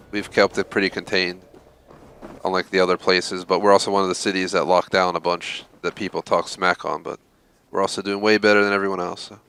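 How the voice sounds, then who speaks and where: A man with a very low voice talks loosely to his viewers while he plays, filling the gaps with "uh" and "you know." A faint hiss sits under the recording. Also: fairly bright tone. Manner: rambling, frequent fillers. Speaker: game streamer host. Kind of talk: casual live-stream chat while gaming.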